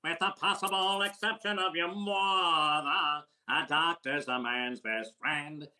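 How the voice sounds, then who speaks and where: Guy doing a fast-talking sharp-toned quack doc, old radio comedy, deadpan jokes. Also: a little high. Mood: singing. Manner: rapid wisecracking patter, punchlines delivered deadpan. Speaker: actor playing a comedian in a radio recreation. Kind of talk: old-time radio comedy with sung patter.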